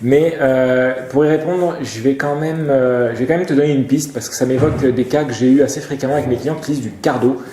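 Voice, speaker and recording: Fast-talking mellow man explaining, echoey room. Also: medium pitch. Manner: informal, explanatory. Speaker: web show presenter and motorcycle gear retailer. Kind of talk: casual explanatory talk.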